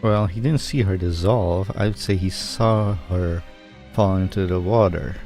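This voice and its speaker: Male streamer, fairly low pitch, relaxed playthrough chat and read-aloud dialogue over faint music. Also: unhurried. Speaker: game streamer. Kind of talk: casual playthrough commentary, reading dialogue aloud.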